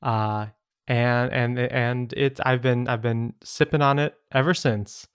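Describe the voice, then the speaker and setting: A man co-hosting a podcast, telling stories in a casual, halting conversational way. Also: mid-range voice, steady tone, smooth, no echo, clean studio sound. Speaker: podcast co-host. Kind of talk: casual chat.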